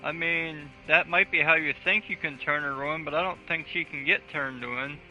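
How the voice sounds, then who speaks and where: A man's voice: a little high, steady tone, moderate pace, pushed and bright. A man streaming a video game, chatting casually in a blunt, joking and sweary way. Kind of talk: casual gaming chat. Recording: dull lo-fi stream audio, faint music.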